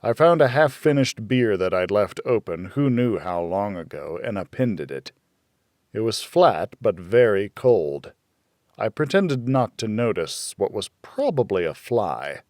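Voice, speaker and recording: A man narrating a first-person thriller audiobook in a deliberate, brooding, dramatic storytelling manner. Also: fairly low pitch, big pitch swings, darkish tone, no echo, studio quality.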